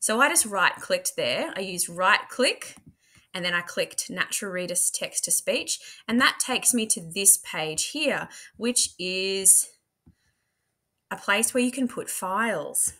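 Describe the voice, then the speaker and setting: A woman's voice: medium pitch, animated, slow pace, sharp tone. A woman, a teacher, giving a chatty instructional screen demo, talking through each step aloud. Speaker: teacher presenting a software tutorial. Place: indoor room at a computer.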